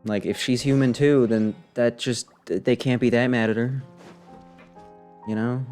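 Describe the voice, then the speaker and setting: A man's voice: medium pitch, steady tone, a little slow, darkish tone. A man giving casual, slangy live commentary as a game streamer, wry and rambling. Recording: voice over faint game music.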